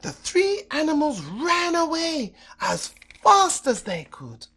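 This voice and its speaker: A woman reading a children's story as an expressive storyteller, with dramatic, deliberate phrasing. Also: high-pitched.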